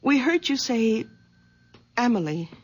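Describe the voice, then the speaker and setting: An actress playing a worried, caring middle-aged daughter in a 1970s radio drama, speaking gently and pleading. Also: female voice, fairly high pitch, animated, slow, breathy edge, heavily acted.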